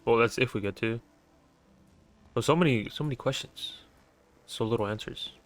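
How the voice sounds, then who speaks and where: A man's voice: medium pitch, normal speed. A young man giving casual, slangy commentary as he plays a video game. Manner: slangy, informal. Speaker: gaming video creator. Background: game music.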